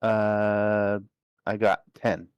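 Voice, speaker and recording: Lowish-voiced man playing a tabletop game. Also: monotone, very slow, clean tone, dry recording, muffled recording. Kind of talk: casual first-person role-play chat.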